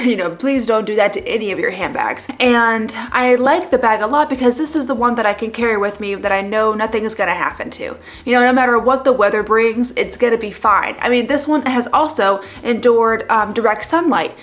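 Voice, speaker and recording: A young woman with a fairly high voice chats to camera in a loose, rambling way, full of little fillers. Her nose sounds stuffed up from allergies. She talks like a casual fashion vlogger. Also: fairly bright tone. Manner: chatty, rambling, frequent fillers.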